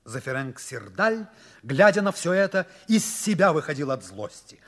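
A man's voice: a little high, big pitch swings, normal speed, fairly bright tone. A man giving dramatic, mock-solemn scripted narration for a 1960s Soviet radio play. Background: music.

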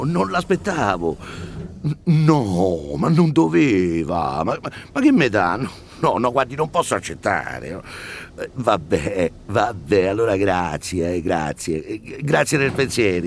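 A creaky voiced doorman for radio drama, flustered, naggy, stammery, bit of noise on the recording. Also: lowish voice, very animated, measured pace, heavily acted. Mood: embarrassed, reluctant. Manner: stammering, insistent, colloquial. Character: flustered, complaining building doorman pestering the receptionist.

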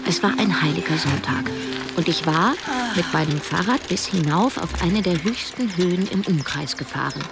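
A woman's voice: on the low side, moderate pace, vocal fry, loud music behind the voice. An actress playing a wry, introspective woman who narrates her own story in a radio drama. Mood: pensive, curious. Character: cash-strapped woman narrator, wry, conflicted amateur sleuth.

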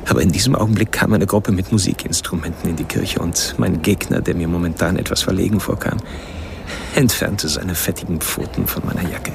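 A man's voice: a little fast, noisy background. An actor playing a cold, articulate serial killer who narrates his stalking in a radio play, introspective and precise. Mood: wry, detached. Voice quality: low, gravelly voice. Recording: music under the voice.

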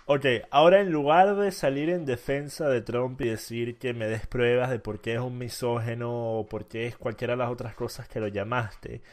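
A man's voice: medium pitch, fairly even intonation, moderate pace, hardly any pauses, a little mellow. A man from Venezuela hosting a debate, arguing assertively and emphatically. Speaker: debate host. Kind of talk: casual debate.

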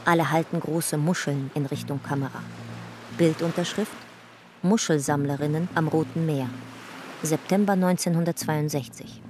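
An actress playing a sober archival narrator, reading documents in a matter-of-fact, detached way for a radio drama. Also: female voice, low voice, steady tone, normal speed, background noise, close to an everyday voice.

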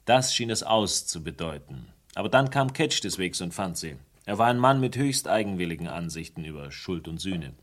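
A man's voice: sits low, animated, moderate pace, few pauses. An actor playing a persistent, sardonic man who lays out a murder case with dry, precise phrasing in a German radio crime drama. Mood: methodical, insistent.

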